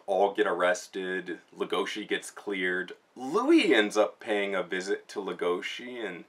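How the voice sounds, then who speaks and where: A man's voice: sits low, animated, unhurried, a bit bright. A man giving a casual spoken review, retelling a story in informal, slangy language. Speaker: manga reviewer, host. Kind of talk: casual spoken book review with plot recap.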